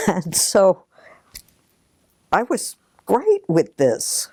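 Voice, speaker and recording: A woman's voice: medium pitch, huge pitch swings, very slow, a little mellow, breathy edge, no echo, clean studio sound. An older woman giving a reflective personal account in an interview.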